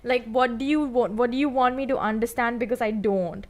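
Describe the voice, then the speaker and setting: A woman's voice: on the high side, fairly even intonation, brisk, darkish tone. A young woman talking casually to viewers, rambling with lots of fillers as she tells an exasperated story. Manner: chatty, rambling, full of "like" and "you know". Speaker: singing streamer.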